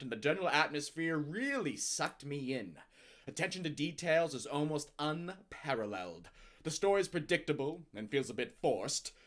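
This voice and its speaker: A man with a fairly high voice reads scripted text as if it were high drama. His intonation swings widely, and he leans hard on key words with a grandiose, theatrical delivery. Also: fairly bright tone. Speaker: narrator reading out a user review.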